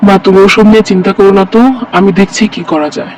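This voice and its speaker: A man's voice: high-pitched, quick pace, slight background noise, close to an everyday voice. A man voicing an earnest, dutiful young village son in a cartoon story. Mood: weary, dismissive.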